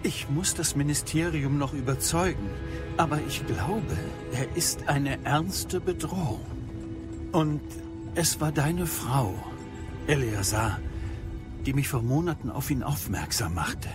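Man acting a slow, expressive ministry wizard. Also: medium pitch. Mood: grave. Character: courteous, grave male ministry wizard, flattering old friend. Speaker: voice actor in an audio drama. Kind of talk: dramatised fantasy radio play. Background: music.

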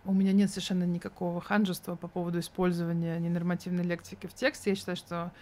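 A woman's voice: lowish voice, little pitch movement, fast, darkish tone. A woman in her thirties speaking as an interview guest, candid and thoughtful with an ironic edge.

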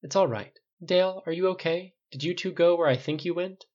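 Guy playing a calm caretaker man in an audiobook, soft and frank but won't budge, slightly muffled. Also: on the high side, a little fast, pitched above the performer's own voice, close to an everyday voice. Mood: calm concern. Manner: quiet, soft, frank, uncompromising.

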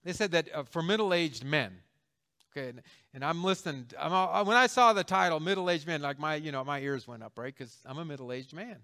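Man, fifties, pastor, sermon, bright, forceful, joking asides. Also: a little high, fairly quick. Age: 50s. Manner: conversational, joking, emphatic.

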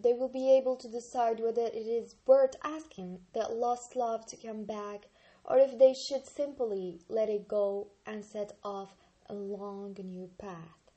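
A woman in her twenties from Algeria, an Arabic speaker, reading a book aloud slowly and carefully on her channel. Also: a little high, dark tone. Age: 20s. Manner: slow, careful reading with occasional slips and restarts.

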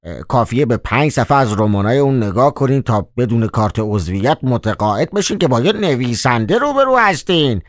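A man's voice: mid-range voice, big pitch swings, no echo, studio quality, pitched above the performer's own voice, close to an everyday voice. A man voicing a theatrical, mock-polite male trickster in an audiobook, drawling "ah" before his phrases. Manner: drawn-out, hesitant "ah" before phrases. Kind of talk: audiobook character voice.

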